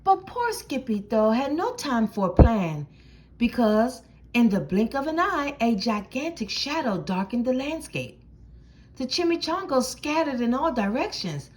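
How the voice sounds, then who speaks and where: A woman narrates a children's storybook in a high, very animated voice. She phrases theatrically and takes her time over the words. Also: unhurried, poor audio. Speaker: storybook reader. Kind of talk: expressive children's read-aloud narration.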